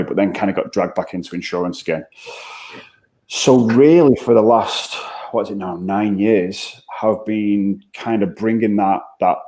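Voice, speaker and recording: Low-voiced, mellow Mancunian man. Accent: Mancunian English. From England. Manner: halting, repeats words while thinking. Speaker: interview guest. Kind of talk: conversational interview.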